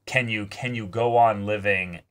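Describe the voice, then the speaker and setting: A man hosting an anime reaction show, talking casually and reflectively, with halting phrasing and the odd swear word. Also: lowish voice, steady tone, a bit bright.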